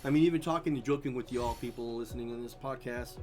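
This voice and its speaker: A man's voice: mid-range voice, fast talker, over music. A middle-aged man chatting casually as a guest, rambling and easygoing, with his voice drying out. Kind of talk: casual chat.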